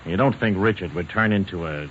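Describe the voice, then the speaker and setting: An actor playing a wry, teasing middle-aged small-town uncle in a 1940s radio play, folksy and joking. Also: male voice, low-pitched, brisk, close to an everyday voice. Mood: folksy concern. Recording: muffled, very noisy old radio recording.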